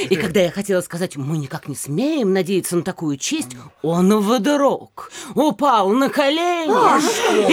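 High, affected, boastful society mother. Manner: affected, grandiloquent. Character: vain, boastful, affected mayor's wife and bossy mother. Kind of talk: theatrical classic comedy audio play.

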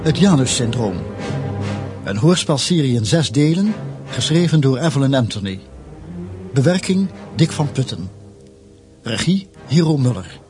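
A man's voice: mid-range voice, sing-song, unhurried, pauses often. A man announcing the title and credits of a radio drama serial in a scripted delivery. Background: music.

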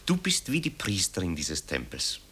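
A man's voice: deep voice, wildly swooping pitch, moderate pace, bright, forceful tone, slight background noise, exaggerated voice. An actor playing a restless, evasive grown son in a German radio play, placating his mother in a guarded, dryly ironic way. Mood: placating, wry.

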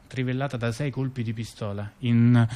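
Halting, colloquial man telling a story. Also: mid-range voice, steady tone, dark tone. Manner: halting, colloquial, repeats words, asks rhetorical questions. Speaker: performer in a two-person theatre dialogue. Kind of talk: conversational storytelling to an audience member.

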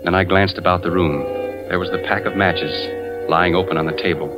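A man's voice: low voice, fairly even intonation, fairly quick, close to an everyday voice. An American actor playing a suspicious, anguished husband, an insurance investigator who narrates his story in a tense 1940s radio drama style. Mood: brooding, tense. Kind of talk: 1940s radio drama acting, first-person suspense narration. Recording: dull 1940s radio recording with music.

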